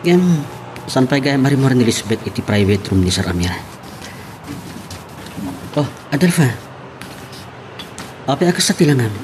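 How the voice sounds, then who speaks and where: An actor voices a calm, courting boss in a radio drama. His voice sits at a medium male pitch, with prominent music behind it. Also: moderate pace, pauses often, lightly acted, low-quality recording. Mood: calm, coaxing. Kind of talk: Ilocano radio drama acting. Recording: music bed behind dialogue.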